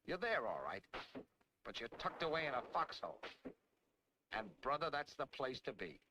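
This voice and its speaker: An actor with an American accent playing a tough, grumbling tank soldier in a 1940s military training film, brash, slangy and emphatic. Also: male voice, medium pitch, big pitch swings, moderate pace, lightly acted. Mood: brash instruction. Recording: noisy 1940s film soundtrack, clicks.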